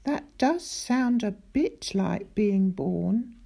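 A woman's voice: mid-range voice, lively intonation, very slow, creaky, warm tone, heavily acted. A woman voicing a curious, polite young girl who asks careful questions. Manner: inquisitive, cautious.

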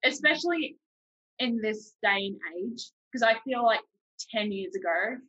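Young New Zealand woman, bright husky voice. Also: fairly high pitch, expressive, unhurried, muffled recording. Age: young adult. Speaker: podcast host, university student. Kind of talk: casual chat.

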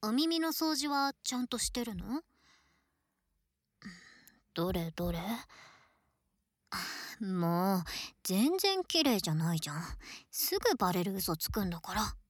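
A woman playing an affectionate, teasing young girl best friend in an ASMR roleplay, playful and breathy, often slipping into whispers close to the microphone. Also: medium pitch, sing-song, measured pace, pauses often, no room sound. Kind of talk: Japanese ASMR situation voice drama, close intimate roleplay. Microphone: close ear-to-ear ASMR microphone.

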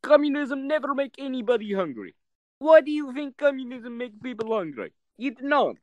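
A man's voice: extremely high pitch, very animated, normal speed, no echo, voice pushed up. A man playing a boastful Soviet propagandist from Moscow, with a mock Russian accent and broken, pompous English.

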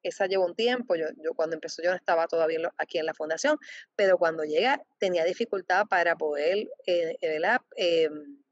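A woman's voice: on the low side, a little fast, no room sound. Hesitant woman, lo-fi. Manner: hesitant, many fillers and restarts. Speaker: foundation representative, guest. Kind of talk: interview answers. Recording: dull lo-fi dry sound.

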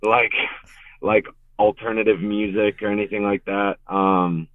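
A man's voice: lowish voice, little pitch movement, a little slow. Laid-back young man on a tinny line. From Richmond, Virginia. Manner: laid-back, rambling, heavy on filler words. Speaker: guest musician. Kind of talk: casual podcast interview. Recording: tinny, phone-like remote call.

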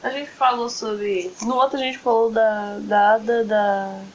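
A woman's voice: on the high side, fairly even intonation, unhurried, slight background noise, low-quality recording. A young woman co-hosting a casual podcast, cracking teasing quips. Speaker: podcast co-host.

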